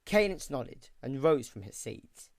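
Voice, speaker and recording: A man's voice: medium pitch, sing-song, a little slow, creaky, no room sound, studio quality. A man narrating a scripted story for an audio drama.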